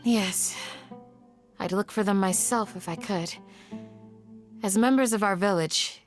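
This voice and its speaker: A woman playing a caring, earnest village guardian in a video game, gentle in manner. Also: mid-range voice, a little slow, natural voice. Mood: earnest, concerned. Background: music.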